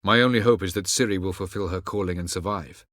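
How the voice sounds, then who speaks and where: A male actor playing an aloof, ancient elven mage in a video game, speaking in a measured, condescending way with formal, archaic phrasing. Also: low-pitched, fairly bright tone, no echo, clean studio sound. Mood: measured, grave. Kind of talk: video game character acting.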